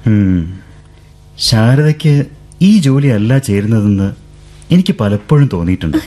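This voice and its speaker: A bookish, teasing young gentleman. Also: lowish voice, big pitch swings, a little slow. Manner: soft-spoken, playful, with light chuckles. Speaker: radio drama actor. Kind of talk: 1970s-style radio drama acting.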